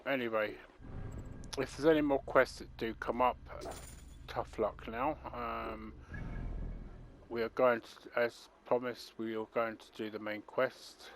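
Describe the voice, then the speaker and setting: A man's voice: medium pitch, steady tone, unhurried, a little hiss or hum. A man commenting on his video game playthrough, rambling and chatty. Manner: rambling and chatty, many fillers. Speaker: gaming channel host. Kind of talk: let's play commentary.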